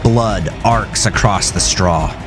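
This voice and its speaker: A man's voice: low-pitched, takes it slowly. A man reading his own horror story as a dramatic, intense scripted narration. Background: music under the voice.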